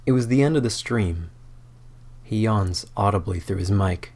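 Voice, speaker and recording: A man's voice: fairly low pitch, normal speed, a little mellow. A man reading his own fan fiction as a dramatic, mock-serious, theatrical narrator.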